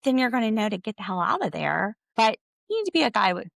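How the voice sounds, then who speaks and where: A woman's voice: medium pitch, very animated, fast, gravelly, no room sound, clean studio sound. A woman hosting a podcast, giving casual, opinionated solo recap commentary with a sardonic edge. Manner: opinionated, sardonic, quotes others conversationally. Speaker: podcast host.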